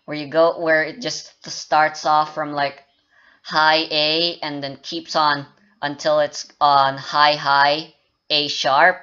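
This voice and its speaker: A man's voice: fairly high pitch, flat delivery, slow, sharp tone, clean tone, highs cut off. A man rambling casually and joking at his own expense during a game development update. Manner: rambling, self-mocking, joking about his voice. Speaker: indie game developer and YouTuber.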